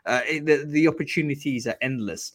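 Guy hosting a live stream, kinda high voice, chatty and excited, lots of ums. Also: brisk, no echo. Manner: enthusiastic, chatty, many fillers and restarts. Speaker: host of a live recruiting show. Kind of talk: casual live-stream chat.

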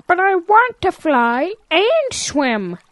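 A woman's voice: very high voice, very animated, takes it slowly, fairly bright tone, dry recording, full character voice. A woman voicing a naive, eager young boy calf in an audio drama, childlike and pleading.

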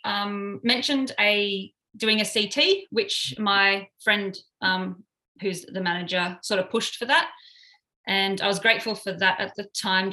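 A bright-toned woman in her thirties speaks in a casual, hesitant way, pausing often on ums. She sounds clear and forward as she recounts events step by step. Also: medium pitch, rough recording. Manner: hesitant, many fillers. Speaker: paramedic interviewee.

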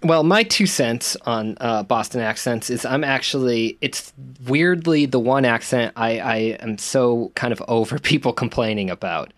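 A man's voice: mid-range voice, normal speed, few pauses. A man co-hosting a film podcast, speaking in a laid-back, unbothered way. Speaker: podcast co-host. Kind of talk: casual film discussion.

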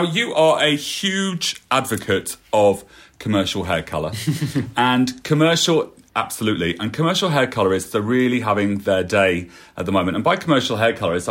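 A man's voice: on the low side, a bit bright. A man hosting a conversational interview, reflective and fond of tag questions. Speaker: podcast host.

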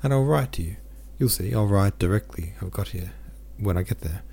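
A man with a deep voice reading the lines of a sad, gentle young merchant from a novel. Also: expressive, normal speed, vocal fry, breathy edge, exaggerated voice. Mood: heavy-hearted. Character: young male merchant, heavy-hearted and gentle.

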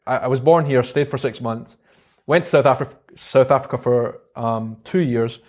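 A young man with a Scottish Edinburgh accent, a guest in an interview, talking about his past in a relaxed, halting way with many pauses and restarts. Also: mid-range voice. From Scotland. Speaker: guest, vlogger. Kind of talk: casual interview chat.

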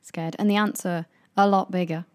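A woman's voice: on the low side, fairly even intonation, normal speed. A young British woman in casual chat as a podcast co-host, chatty and playful, laughing often.

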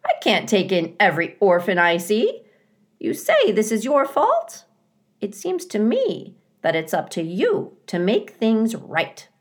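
A woman's voice: mid-range voice, huge pitch swings, measured pace, voice pushed up. A woman voicing a blunt, fussy, motherly female goose in a children's storybook, with squawking, emphatic, opinionated delivery. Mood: indignant. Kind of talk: children's storybook character reading.

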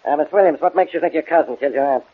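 A man playing a gruff, exasperated police inspector with a sarcastic, irritable manner in a 1940s radio drama. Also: fairly high pitch, racing. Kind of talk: 1940s radio detective acting. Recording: narrow, tinny old radio broadcast.

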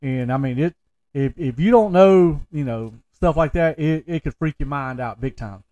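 Man, podcast co-host, slightly high voice, animated informal storyteller, casual film chat. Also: moderate pace, muffled recording. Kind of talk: casual chat about films.

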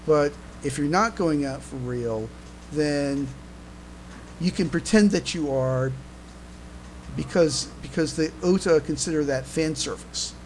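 A man co-hosting a casual fan podcast, talking haltingly and often repeating and restarting his words. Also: fairly high pitch, a little mellow, poor audio. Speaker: podcast co-host. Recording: clear background noise, mains hum.

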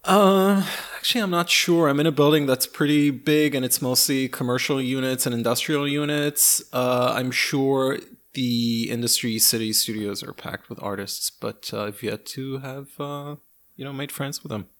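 A man's voice: medium pitch, fairly even intonation, normal speed, a bit bright. A man who speaks English as a second language, co-hosting a podcast in wry, joking casual chat from a home studio. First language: not English. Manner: wry, joking, laughs often. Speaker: podcast co-host.

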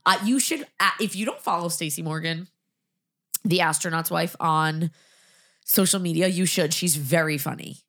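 A woman hosts a relaxed podcast chat, her voice hoarse and nasal with a gravelly edge. She sits a little low in pitch. She talks in a chatty way, full of quick asides. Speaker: podcast host. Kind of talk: casual chat.

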